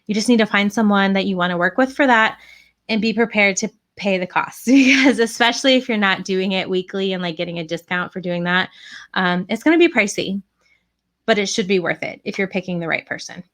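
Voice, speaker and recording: A young woman talks straight to her listeners in a loose, chatty live-podcast style. She is encouraging and full of fillers and asides. Her voice sounds worn and a little hoarse after a long day of talking. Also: mid-range voice, brisk, fairly bright tone. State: voice tired and starting to go hoarse. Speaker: podcast host and direct sales coach. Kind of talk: casual live video podcast advice.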